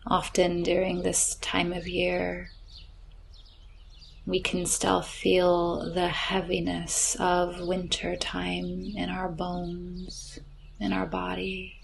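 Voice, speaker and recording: A woman leads a guided yoga nidra practice very slowly and gently. Her voice is somewhat low and crackles with vocal fry, often softening into breathiness. Faint birdsong and nature ambience sit behind her. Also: completely flat delivery. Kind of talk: slow guided relaxation meditation. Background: chirping birds, nature ambience.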